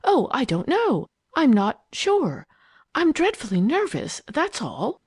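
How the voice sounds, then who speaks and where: Woman acting out a high-pitched, frightened young woman. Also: wildly swooping pitch, takes it slowly, a little mellow, heavily acted. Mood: distressed, evasive. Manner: stammering, breathless, pleading. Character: frightened, nervous young woman guest, evasive and apologetic.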